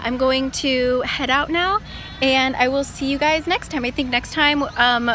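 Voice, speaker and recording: Young girl vlogging outside on her phone, chatty, really bright voice, bit of background noise. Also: a little high. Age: young adult. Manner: chatty, informal. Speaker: travel vlogger. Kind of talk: casual vlog chat to camera. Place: outdoors in an open park and parking lot. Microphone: phone at arm's length. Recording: outdoor noise, wind and traffic ambience.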